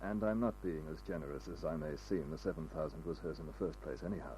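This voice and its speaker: A man's voice: a bass voice, quick pace, dark tone. An actor playing a courteous, aristocratic young man in an old radio drama, speaking formally and earnestly. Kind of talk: 1940s-50s radio drama acting. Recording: noisy old radio recording.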